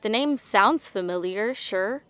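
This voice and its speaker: A woman's voice: medium pitch, lively intonation, takes it slowly, fairly bright tone, no room sound. A woman acting a forthright, teasing young professional in an audio drama, with casual, blunt banter.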